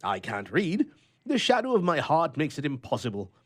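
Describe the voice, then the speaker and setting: A man's voice: fairly high pitch, wildly swooping pitch, moderate pace, breathy edge, pitched above the performer's own voice, full character voice. A man voicing an irritable, lonely bachelor in a storybook reading, with emphatic, indignant, old-fashioned oratory. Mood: indignant misery.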